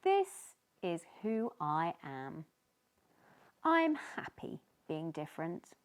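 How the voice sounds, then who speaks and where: A woman's voice: fairly low pitch, very animated, takes it slowly, clear, resonant tone. A woman voicing a timid, sweet and earnest little fish in a children's storybook. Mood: happy, grateful.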